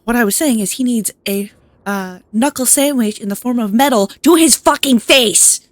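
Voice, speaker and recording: A woman's voice: on the high side, normal speed, no room sound. Rambling woman game streamer. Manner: rambling, reactive, sweary. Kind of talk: casual gameplay commentary. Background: game music.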